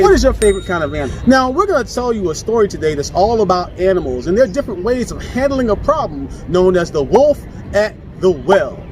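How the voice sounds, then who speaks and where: Very high-pitched, animated male storyteller. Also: a little hiss or hum. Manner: theatrical, sing-song. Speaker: host and storyteller. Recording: music behind the voice.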